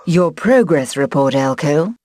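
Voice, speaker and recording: An actress playing a stern, imperious queen in a video game, commanding and measured. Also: low-pitched, animated, a little mellow, dry recording, studio quality. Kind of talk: video game cutscene acting.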